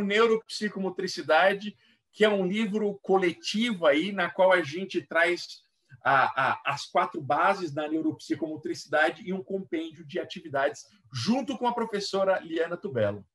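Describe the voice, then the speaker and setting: A Brazilian man talking casually as an interview guest and author, in a halting manner that repeats words. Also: sits high, fairly bright tone. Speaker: guest author and play educator. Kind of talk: casual explanatory interview talk. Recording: voice somewhat far from mic.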